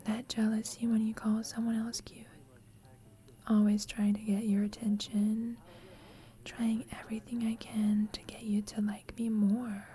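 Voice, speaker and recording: A young woman playing a shy, lovestruck student roommate in an intimate ASMR roleplay, confiding hesitantly in a soft, breathy whisper. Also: on the high side, completely flat delivery, a little slow, lightly acted. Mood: shy, uncertain.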